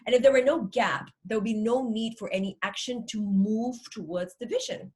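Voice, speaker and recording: Energetic Singaporean woman giving a talk. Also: a little high, moderate pace, breathy edge. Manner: energetic, upbeat. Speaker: workshop speaker. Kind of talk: online workshop presentation. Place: home room with virtual background. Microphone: video call.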